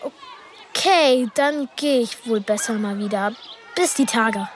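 Woman playing cheeky nosy young schoolboy, high voice, radio play, slight noise behind. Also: a little slow, natural voice. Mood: inquisitive. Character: cheeky, inquisitive early-teen schoolboy. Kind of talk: radio drama acting.

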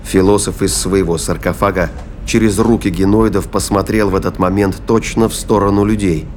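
A man narrating a science fiction audio drama in a measured, descriptive storytelling manner. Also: low-pitched, steady tone, a little hiss or hum.

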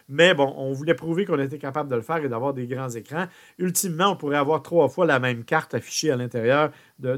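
A man hosting a car radio show, talking casually and often pausing on "euh" and repeated words. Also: on the high side. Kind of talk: car review radio talk.

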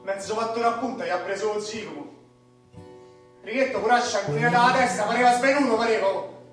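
A man's voice: high-pitched, quick pace. An actor playing an agitated, haunted Roman street man in a radio play, with a Roman accent and intense colloquial delivery that swings in volume. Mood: feverish, haunted. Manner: intense, colloquial, swinging between murmurs and shouts. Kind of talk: radio theatre monologue. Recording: very echoey, distant mic, music behind.

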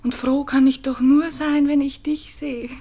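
Earnest young Viennese sweetheart, fairly high voice, soft, tender and wistful, 1960s radio drama. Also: female voice, flat delivery, a little slow. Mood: devoted, yearning. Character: Christine, sincere devoted young Viennese girl, wistfully in love. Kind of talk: 1960s German radio play acting. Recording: 1960s radio studio recording.

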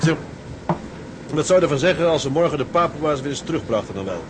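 Sober ship's officer played by a man, slightly high male voice, measured and plain-spoken, radio play, noisy deck ambience. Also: animated, natural voice. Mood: admiring. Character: sober, level-headed male seaman, the captain's fellow officer. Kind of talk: Dutch radio drama acting. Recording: noisy outdoor ship ambience.

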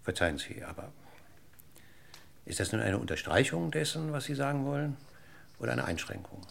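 An actor playing a middle-aged police inspector in a German radio crime drama, husky and gravelly, formally polite, deliberate and persistent in his questioning. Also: mid-range voice, very animated, fairly bright tone, a little hiss or hum, heavily acted. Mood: politely insistent. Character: probing, courteous male police inspector, dryly persistent.

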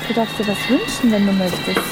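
A woman's voice: mid-range voice, a little fast, over loud music. A woman chatting spontaneously and playfully with passers-by, coaxing them along. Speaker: reporter playing a wish-granting fairy in street encounters.

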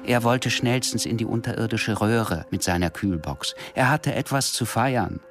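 A man playing a grave narrator in a radio crime thriller, telling it in a measured, sober way. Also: medium pitch, lightly acted. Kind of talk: scripted radio drama narration. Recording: prominent music under the voice. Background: music.

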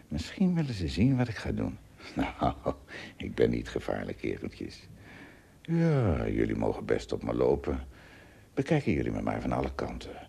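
A rumbling, extremely deep giant who talks to himself good-naturedly with sighs and groans. His voice is thick with gravel and very husky, swinging broadly in pitch for a children's radio play. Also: mellow, heavily acted. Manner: drawn-out musing with sighs and groans. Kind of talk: children's audio drama acting.

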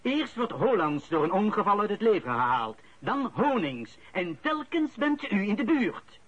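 An actor playing a probing, politely insistent police inspector with a Flemish accent in a radio play. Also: male voice, sits high, very animated, measured pace, exaggerated voice. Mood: probing, insinuating. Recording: dull lo-fi radio play recording.

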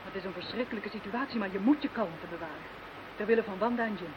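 Radio play: level-headed female ally, played by an actress, mid-pitched voice, muffled recording with clear hiss. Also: quick pace, close to an everyday voice. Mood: composed, firm. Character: composed, practical woman steadying her anxious friends. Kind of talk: Dutch radio play acting.

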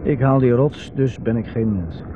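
A man's voice: medium pitch, moderate pace, noisy background, lightly acted. An actor playing a reclusive, sardonic man in his thirties in a Dutch radio drama, dry and evasive. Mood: dry, mocking. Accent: Netherlands Dutch. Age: 30s. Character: reclusive, wry older brother living on an island. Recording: muffled, lo-fi vintage radio recording.

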